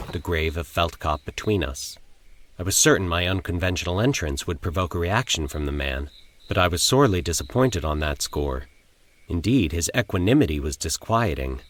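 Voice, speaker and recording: A man reading a scripted first-person horror story in a formal, literary, measured manner. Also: low-pitched. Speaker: narrator of horror fiction.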